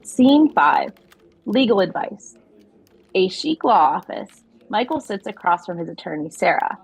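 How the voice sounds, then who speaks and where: A woman's voice: mid-range voice, big pitch swings, measured pace, vocal fry, husky, low-quality recording. A woman narrator reading scripted scene descriptions for an audio play.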